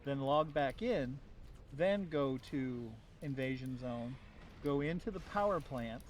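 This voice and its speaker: A man's voice: medium pitch, animated, slow pace. A man in casual conversation with fellow gamers on a group voice chat. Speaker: gamer on a group gaming podcast. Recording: noisy voice-chat with clear background hum.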